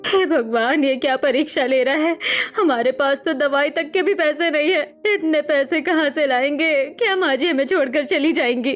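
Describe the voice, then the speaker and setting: A woman's voice: high voice, expressive, moderate pace. A woman playing a poor, worried village wife in a story drama, imploring and dramatic. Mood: tearful despair. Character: poor, anxious village wife and daughter-in-law. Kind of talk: melodramatic Hindi story dramatization.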